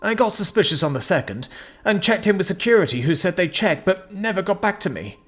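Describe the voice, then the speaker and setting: A man's voice: high voice, sing-song, fairly quick, fairly bright tone, pitched above the performer's own voice, heavily acted. A man voicing a frail, desperate old male amphibian creature with a quivering, hissing voice in a storybook reading. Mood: desperate pleading.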